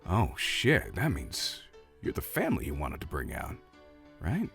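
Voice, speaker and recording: Folksy saloon bartender, swooping pitch. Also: male voice, wildly swooping pitch, measured pace, vocal fry, soft background music, full character voice. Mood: sympathetic. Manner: hesitant, folksy, soft-hearted. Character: friendly, chatty Old West saloon bartender, a man. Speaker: voice actor. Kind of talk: audio roleplay acting addressed to the listener.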